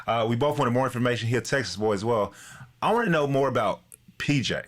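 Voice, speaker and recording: A man's voice: medium pitch, lively intonation, fast. A man hosting a podcast in casual chat, with a laid-back, slangy, familiar way of talking. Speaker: podcast co-host.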